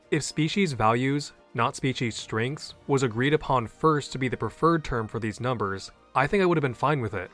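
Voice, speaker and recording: A man hosting a scripted explainer video, earnest and opinionated, with a native English voice. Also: mid-range voice. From half Japanese. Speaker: YouTube host.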